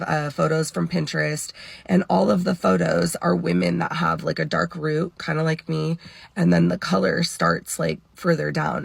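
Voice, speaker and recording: Congested lady in her 30s rambling thru her story, super gravelly fry, lots of "like"s, dry close sound. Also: low voice, no echo. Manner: rambling, full of "like" and "you know". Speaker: former hairdresser sharing her story. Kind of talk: casual personal storytelling.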